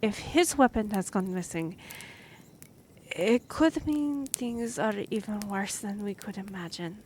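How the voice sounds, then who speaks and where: A woman's voice: medium pitch, measured pace, darkish tone, slight background noise, natural voice. A woman playing a courteous half-elf royal liaison in a fantasy audio drama, speaking formally and explaining things with some hesitation. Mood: troubled, musing.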